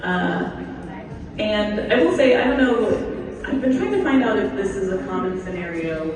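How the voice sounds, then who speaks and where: A woman's voice: medium pitch, expressive, normal speed, crowd noise. A woman doing a stand-up comedy set with a dry, self-deprecating delivery, at an event. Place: event hall with a crowd. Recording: echoey hall, mic heard from far off.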